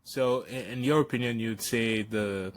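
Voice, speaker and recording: A man's voice: mid-range voice, measured pace. A man who grew up in Nigeria, hosting a podcast interview and speaking hesitantly, often repeating words as he thinks. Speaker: podcast host. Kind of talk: conversational interview.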